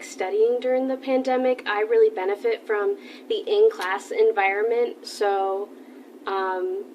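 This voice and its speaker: Young woman, halting, distant mic. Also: medium pitch, darkish tone. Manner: halting, uses like and um. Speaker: student interviewee. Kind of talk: casual interview answers. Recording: distant mic, faint noise.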